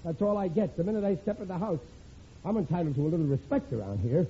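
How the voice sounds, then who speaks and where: An actor playing a gruff, self-pitying working-class husband in a 1940s radio comedy. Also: male voice, high-pitched, big pitch swings, quick pace, dark tone. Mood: indignant. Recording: tinny old radio broadcast with hiss.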